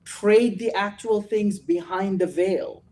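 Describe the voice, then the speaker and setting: A man's voice: sits high, steady tone, slow, mellow, far from the mic, poor audio. A host leading a casual trading show and giving motivational advice, often ending his points with "right?". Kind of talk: casual chat.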